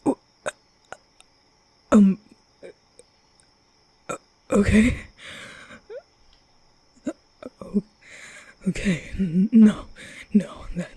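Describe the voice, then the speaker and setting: A young man playing an awkward, nervous vampire in an ASMR roleplay, stammering and muttering in breathy whispers. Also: high-pitched, mellow, exaggerated voice. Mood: flustered. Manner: halting, stammering, muttering to himself. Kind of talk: ASMR roleplay audio drama.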